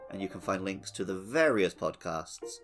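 A man's voice: low voice, lively intonation, moderate pace, music in the background. A man co-hosting a podcast, chatting casually about a video game playthrough. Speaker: podcast co-host.

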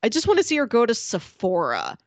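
A woman's voice: a little high, wildly swooping pitch, brisk, dry recording. A woman podcast co-host chatting casually and informally about TV, with a giggly manner. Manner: informal, giggly, peppers talk with "like". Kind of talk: casual chat about a TV show.

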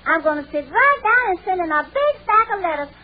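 A woman's voice: very high-pitched, very animated, fairly quick, muffled recording. An actress playing a cheerful, dim-witted young woman neighbor in a vintage radio sitcom. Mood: cheerfully dim. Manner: dim-witted, eager, oblivious. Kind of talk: 1940s-50s radio sitcom acting. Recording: old radio recording, loud audience, heavy noise.

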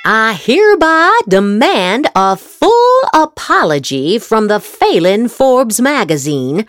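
An American woman host giving a theatrical, emphatic, deliberate dramatic reading of political posts. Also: fairly high pitch, big pitch swings, no room sound. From United States. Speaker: podcast host. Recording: music behind the voice. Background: music.

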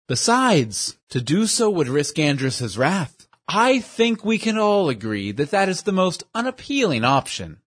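A puffed-up, verbose portly gentleman who relishes every fancy word, as a male narrator voices him. His voice sits high and leaps about a lot. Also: very animated, measured pace, hardly any pauses, husky, full character voice. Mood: pompous. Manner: grandiloquent, over-formal diction. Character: pompous portly man, verbose and fussy.

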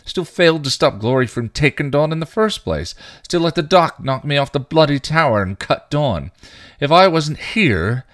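A man voicing a bitter, guilt-ridden male vampire, rough and full of crude slang. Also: fairly low pitch, expressive, moderate pace, dry recording, studio quality, natural voice. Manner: snarling, rough, clipped slang. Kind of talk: fan fiction reading.

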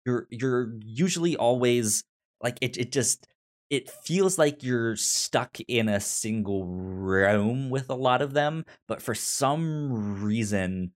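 A man with a stutter chatting casually as a review podcast co-host, in a halting, informal way. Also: medium pitch. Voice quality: stutter, repeating sounds and words.

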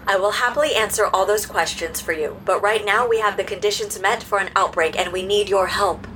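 A woman playing an earnest, polite robot in an audio drama, speaking in measured, formal phrases. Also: medium pitch, fairly bright tone, a little hiss or hum, close to an everyday voice. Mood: earnest, composed. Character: earnest, polite decommissioned public health robot, loyal.

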